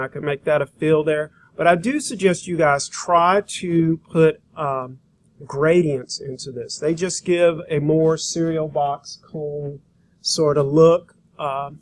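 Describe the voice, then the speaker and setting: A man with a slightly high voice walks learners through a software demonstration. His delivery is informal and folksy, with a halting flow as he thinks aloud. He sounds like an instructor showing each step while he does it. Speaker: graphic design instructor.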